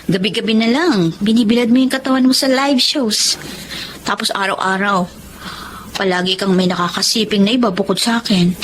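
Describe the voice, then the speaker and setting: An actress playing a lovelorn young Filipina bar hostess, wistful and defensive, in a radio drama. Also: female voice, medium pitch, moderate pace, a little hiss or hum, natural voice. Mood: hurt, pleading.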